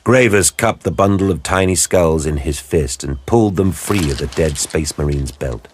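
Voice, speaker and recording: A man narrating an audio drama in a deep, husky voice with grave, deliberate storytelling. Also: a little hiss or hum.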